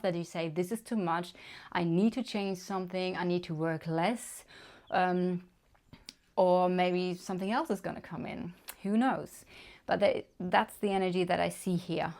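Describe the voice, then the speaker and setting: A woman's voice: lowish voice, a little mellow. A woman with a German accent, reading tarot cards to camera in a chatty way, thinking aloud with many fillers. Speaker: tarot reader. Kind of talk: casual card reading to camera.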